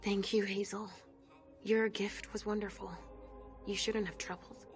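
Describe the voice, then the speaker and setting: A woman's voice: mid-range voice, level tone, a little slow, close to an everyday voice. An actress playing a polite, slightly playful vampire woman in a video game cutscene. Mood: pleasant, playful. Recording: faint music behind.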